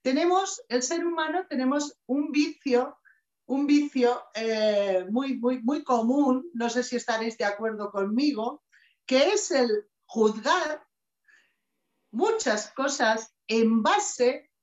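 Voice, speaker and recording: A middle-aged woman speaks slowly and emphatically in a slightly high voice. She gives an inspirational talk over a webcam, and the small office around her sounds echoey. The sound is dull and lo-fi. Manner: slow, measured and emphatic, with expressive hand gestures. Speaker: conference speaker. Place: small office with shelves, indoors. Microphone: webcam video call.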